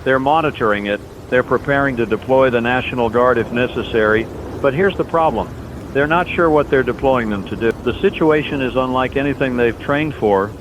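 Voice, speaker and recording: A man playing an earnest, steadfast overnight radio host in a scripted disaster drama, speaking in solemn, reassuring, deliberate phrases. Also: close to an everyday voice. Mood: grave, urgent. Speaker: late-night radio host in a fictional broadcast. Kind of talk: scripted radio drama monologue, emergency broadcast. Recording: dull lo-fi audio, loud outdoor rumble.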